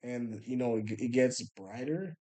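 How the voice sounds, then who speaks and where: A man's voice: medium pitch, unhurried, warm tone. A young man telling a rambling story in a laid-back, casual way, filling it with "bro" and "you know" and often trailing off into a mumble. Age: young adult. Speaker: vlogger.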